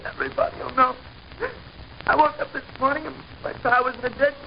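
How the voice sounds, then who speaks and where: High-pitched frantic teenage boy. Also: steady tone, a little fast, gravelly. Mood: distraught. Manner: pleading, stammering, frantic. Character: frightened, guilt-ridden high school boy hooked on reefers. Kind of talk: old-time radio drama acting. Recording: muffled, noisy old radio recording.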